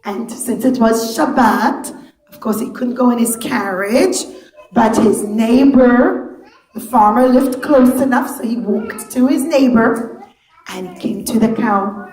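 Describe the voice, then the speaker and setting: A woman storyteller and teacher addressing young children in simple, deliberate phrases with repetition. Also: on the high side, a little mellow. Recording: echoey room.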